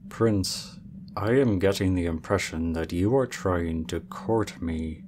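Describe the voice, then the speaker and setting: A man's voice: sits low, slow pace, background noise. A man playing a proud, aloof male dragon in human form, speaking formally and with cool reserve in an ASMR roleplay.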